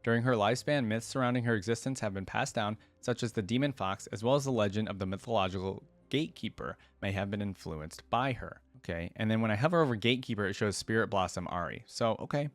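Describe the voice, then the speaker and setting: A man's voice: lowish voice, quick pace, hardly any pauses, no echo. A man doing casual reaction commentary, reading aloud and adding informal, conversational asides as a video creator.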